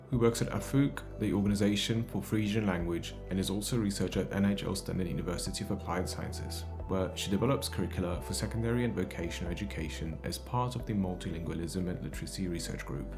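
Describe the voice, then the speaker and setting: Low-voiced man introducing guests over guitar. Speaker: podcast host. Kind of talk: scripted introduction. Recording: music behind the voice.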